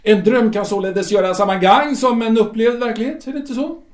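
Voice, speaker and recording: An actor playing a solemn otherworldly guide of the dead, speaking slowly and questioning like a patient teacher. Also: male voice, high voice, lightly acted. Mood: probing, didactic. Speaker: guide of the afterlife. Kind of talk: audio drama acting. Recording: roomy reverberant room.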